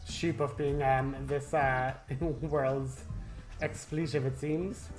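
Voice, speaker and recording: A man gives casual walkthrough commentary over game music. He is chatty and wry, in a voice of middling pitch for his range. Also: steady tone, slow. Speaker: gaming walkthrough host.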